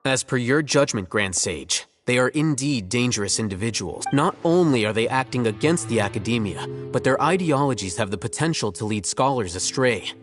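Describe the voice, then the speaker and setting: Casting: male actor as courteous double-agent scribe, even and formal, music clearly under the lines. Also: medium pitch, normal speed, few pauses, natural voice. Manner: formal, polite, matter-of-fact reporting. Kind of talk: video game voice acting.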